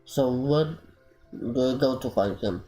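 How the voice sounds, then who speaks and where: A man's voice: mid-range voice, slow pace, dark tone, natural voice, low-quality recording. A man playing a terse investigator in a video game. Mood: alert. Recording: voice over background music.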